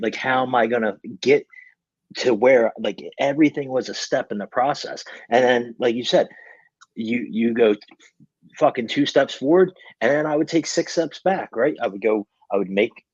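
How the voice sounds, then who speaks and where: A man's voice: mid-range voice, breathy edge, dry recording. A man in his twenties giving a casual motivational rant, blunt, emphatic and full of swearing, on lo-fi audio. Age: 20s. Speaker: podcast speaker.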